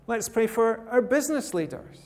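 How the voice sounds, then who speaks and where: A man from rural Scotland gives a sermon in a high voice, easygoing and conversational, with gentle humor. His voice rings out with a long reverb in a large hall. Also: animated, normal speed. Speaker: preacher.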